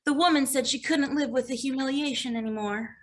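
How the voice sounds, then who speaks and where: A woman reading an earnest, grieving woman client in an animated comedy mystery table read. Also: sits high, fairly quick, lightly acted.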